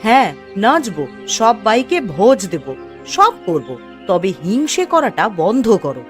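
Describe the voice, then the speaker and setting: A woman voicing a proud, feisty village mother in a cartoon story, expressive and boastful. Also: fairly high pitch, measured pace. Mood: defiant. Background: music.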